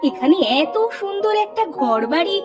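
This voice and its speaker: Woman doing a fretful cartoon village mom, super high pitched, swoopy and plaintive. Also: very animated, moderate pace, loud music behind the voice. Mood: worried. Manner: plaintive, exclamatory. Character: fretful, outspoken village wife and mother. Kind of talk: cartoon character dubbing.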